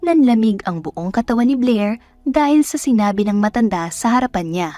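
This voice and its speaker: A woman narrates a scripted romance drama in a fairly high voice. The recording is dry, with music playing behind her. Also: lively intonation, moderate pace, mellow, dry recording. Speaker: story narrator.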